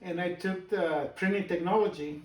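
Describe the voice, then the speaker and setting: Older Guatemalan-accented man, higher pitched. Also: steady tone, darkish tone, echoey room, far from the mic, low-quality recording. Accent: Guatemalan Spanish. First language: Spanish. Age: 60s. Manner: halting, personal storytelling with pauses and restarts. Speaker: business owner guest. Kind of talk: casual interview.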